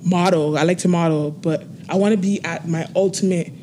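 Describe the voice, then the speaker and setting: A woman's voice: on the low side, fairly even intonation, warm tone, slight background noise. Earnest young woman guest, laughs easily. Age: 20s. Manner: laughs often, slow and earnest when talking goals. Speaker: interview guest. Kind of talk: casual interview chat.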